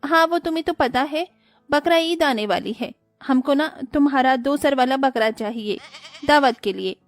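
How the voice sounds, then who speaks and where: A woman's voice: sits high, moderate pace, faint music behind. An actress playing a scheming, mocking neighbor woman in a cartoon story. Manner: mocking, pushy.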